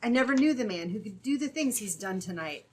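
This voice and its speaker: A woman's voice: medium pitch, lively intonation, brisk, slight background noise, lightly acted. A woman playing an earnest, tender young sweetheart in an old-time radio melodrama. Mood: tender, relieved.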